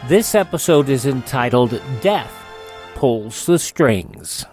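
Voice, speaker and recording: A man hosting a classic radio program, introducing it in a measured, deliberate way. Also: mid-range voice, sing-song, loud music behind the voice. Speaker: radio show host.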